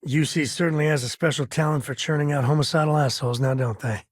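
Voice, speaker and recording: A man playing a middle-aged space ranger in a video game, wry, folksy and good-hearted, with a husky, gravelly voice. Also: fairly high pitch, steady tone, quick pace, no room sound, clean studio sound, natural voice. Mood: wry, casual. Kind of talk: video game voice acting, conversational.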